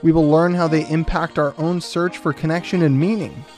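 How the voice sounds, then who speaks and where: A man reads a scripted introduction for a podcast in a somewhat high voice. Faint music plays underneath. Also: steady tone, moderate pace, a little mellow. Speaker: show intro announcer. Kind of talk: scripted narration.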